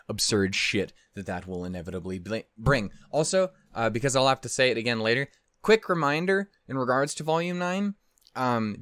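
Guy in his 30s doing reaction commentary, rambly n sweary, halting, super dry close mic. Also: mid-range voice, a bit bright, no room sound. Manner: sweary, flustered, halting and digressive. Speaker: reaction video host. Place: small furnished room at home, indoors. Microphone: close desk condenser microphone.

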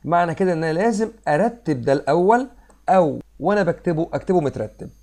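Egyptian guy teaching math, bit hoarse from a cold, fast, warm low tone, step by step. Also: lively intonation. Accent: Egyptian Arabic. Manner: explains step by step, mixing English math terms into Arabic. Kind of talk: screen-recorded math lesson. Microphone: voice-over on a screen recording.

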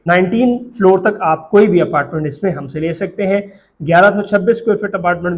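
A young man with a Pakistani Urdu accent presenting property prices to camera in a steady, explanatory sales pitch, recorded indoors in an office on a lapel mic. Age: young adult. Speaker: real estate agent.

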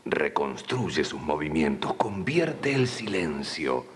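Male Argentine narrator, extremely low and animated, dramatic scripted read, faint noise. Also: slow pace. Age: middle-aged.

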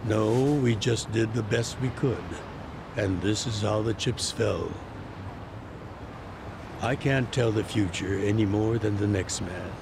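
A gravelly old ex-yakuza boss. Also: male voice, on the low side, noisy background. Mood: calm, reflective. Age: older. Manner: slow, measured, reflective. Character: older retired male yakuza boss, weary and fatherly. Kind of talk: video game cutscene dub.

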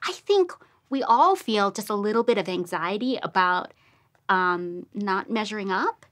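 A woman's voice: mid-range voice, expressive, measured pace, a bit bright. Sincere woman in her thirties. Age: 30s. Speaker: interviewee. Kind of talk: candid interview. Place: dark studio.

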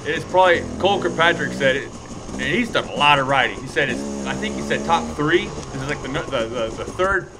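Young male motovlogger, excited rambling trail commentary, gravelly edge, helmet cam under engine roar. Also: medium pitch, brisk, background noise. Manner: excited, rambling, cheering on riders. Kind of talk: casual race motovlog commentary. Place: riding a dirt bike on a wooded trail, outdoors.

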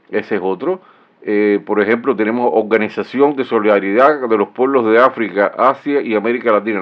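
Hombre cubano, voz grave, sarcástico e indignado, sonido apagado. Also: male voice, fairly low pitch. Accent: Cuban Spanish. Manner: sarcastic, indignant, many fillers and restarts. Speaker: political commentator. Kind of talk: solo video commentary. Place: small home room. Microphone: studio mic with headphones. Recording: dull, lo-fi sound.

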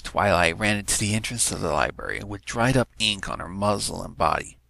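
A man's voice: fairly low pitch, lively intonation, brisk, vocal fry, sharp tone, no room sound. A man narrating a written story, reading it aloud as scripted prose.

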